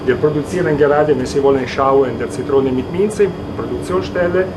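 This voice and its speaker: Italian man in halting German. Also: mid-range voice, heavy background noise. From Italy. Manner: halting German, proud and moved. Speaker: ice cream maker. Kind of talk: interview.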